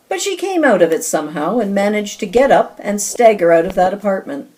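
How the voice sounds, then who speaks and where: A woman's voice: medium pitch, a little mellow, a little hiss or hum. A woman serving as announcer and narrator of a radio play, telling the story in scripted narration. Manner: storytelling delivery.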